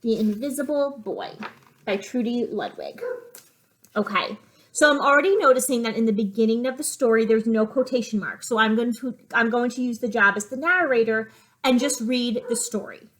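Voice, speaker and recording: A woman with a somewhat high-pitched voice speaks as a teacher to young children. She moves between reading a picture book as narrator and explaining it in plain, simple phrases. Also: moderate pace. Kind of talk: reading lesson with storybook read-aloud and asides.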